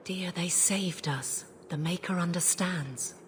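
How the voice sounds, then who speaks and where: An actress playing a firm, practical woman soldier and loyal wife in a fantasy video game, brisk and steady. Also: on the low side, slight background noise. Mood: concerned.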